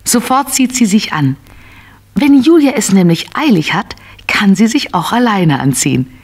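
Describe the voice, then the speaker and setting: A woman storyteller with a husky edge and a middle-register voice. She narrates a children's audio play expressively, in simple phrases meant for small listeners. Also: mid-range voice, very animated, normal speed.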